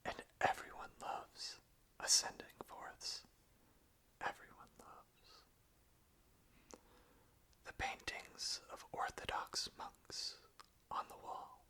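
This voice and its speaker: An ASMR host of unclear sex speaking softly and deliberately in a breathy, unvoiced whisper. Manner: soft, slow, deliberate. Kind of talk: whispered ASMR, slow reading and soft chat.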